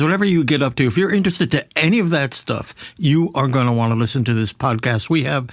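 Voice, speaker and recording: A middle-aged man hosts a podcast in a dry, close recording. His voice sits at a medium pitch, and he talks conversationally, with halting, emphatic phrasing and repeats for weight. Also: no room sound. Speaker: podcast host.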